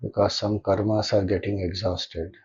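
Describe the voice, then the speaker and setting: A man with an Indian accent leading a guided meditation as a spiritual teacher, speaking calmly and gently with deliberate pauses. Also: on the low side, breathy edge, lo-fi sound.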